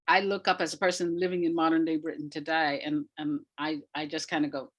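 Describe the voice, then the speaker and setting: A woman speaking as an interview guest, answering conversationally in a reflective, emphatic way with pauses between phrases. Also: low voice, little pitch movement. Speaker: interview guest, arts practitioner.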